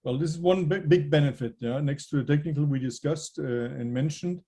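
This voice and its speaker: A man answering interview questions about aviation technology, measured and hesitant as he explains technical points. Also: fairly high pitch, warm tone, husky.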